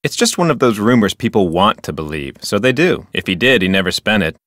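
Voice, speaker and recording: Adventure game park ranger: lowish pitch, officious, formal and wordy, rule-bound, dry studio sound. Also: male voice, very animated, a little fast, a bit bright, no room sound. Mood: informative, matter-of-fact. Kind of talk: scripted adventure game dialogue.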